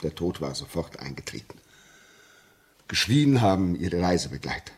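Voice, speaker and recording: A haunted railway signalman in a vintage radio play. His low voice is rough and husky, halting and agitated, moving from hushed dread to anguished cries. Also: big pitch swings, exaggerated voice. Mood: grim, haunted. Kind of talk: 1950s German radio drama acting. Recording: muffled-edged vintage 1950s radio recording.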